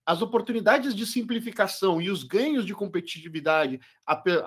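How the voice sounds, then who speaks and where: A man opens a corporate webinar in a fluent, upbeat presenter's voice. His voice sits a little high for a man, and he introduces the session over a computer microphone. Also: fairly bright tone. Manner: fluent, upbeat presenter, says his accent is not Portuguese. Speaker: webinar host, product marketing manager.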